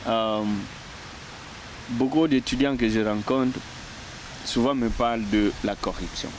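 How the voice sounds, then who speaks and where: A man's voice: mid-range voice, fairly even intonation, a little slow. A man interviewing a student, with frequent agreeing hums. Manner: encouraging, frequent agreeing "mhm". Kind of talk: outdoor street interview. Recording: noisy outdoor recording.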